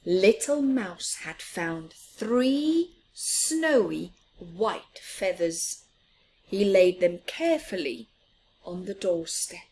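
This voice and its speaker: Slow, animated woman storyteller. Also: medium pitch, slightly rough. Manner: addresses child listeners with questions, often drops to a whisper. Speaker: children's storyteller. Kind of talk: expressive picture-book read-aloud for young children.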